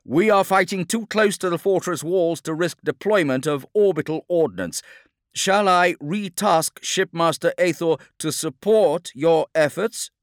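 A man's voice: fairly high pitch, expressive, a little slow, no echo, clean studio sound, voice pushed up. Man acting a formal officer, raised voice. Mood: urgent, formal. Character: formal, solemn male military officer addressing his captain. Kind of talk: dramatic audiobook character acting.

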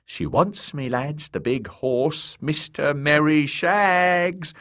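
A man voicing a crowing, bawdy, cruel mad jester with a shrill voice and a gleeful, taunting manner. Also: takes it slowly, a bit bright. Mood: lewd boasting. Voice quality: shrill, braying.

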